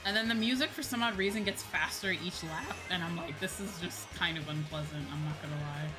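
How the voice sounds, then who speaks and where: A woman chatting casually as a livestream host while gaming, expressive and free with swearing. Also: fairly low pitch, brisk, poor audio. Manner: expressive, swears freely, mock-grumbling asides. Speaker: livestream host, virtual streamer. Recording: game music behind the voice. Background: video game music.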